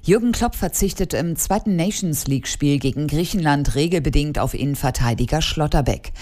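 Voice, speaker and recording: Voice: mid-range voice, fairly quick, darkish tone. A radio news reader delivering scripted bulletins.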